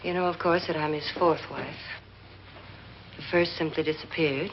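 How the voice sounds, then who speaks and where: An actress playing the cool, anxious wife of a jealous millionaire in a 1950s horror film, poised and commanding. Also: female voice, sits low, fairly even intonation, normal speed, slight background noise, close to an everyday voice. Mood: fearful, grave. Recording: dull vintage film soundtrack with music.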